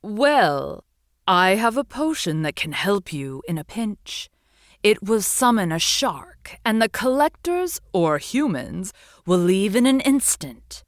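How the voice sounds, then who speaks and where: A woman's voice: medium pitch, very animated, slow pace, no room sound. Woman acting sea witch, opinionated, theatrical, swooping intonation, emphatic grumble, storybook character, dry sound. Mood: helpful. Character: opinionated, helpful female sea witch of the deep. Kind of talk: children's storybook reading.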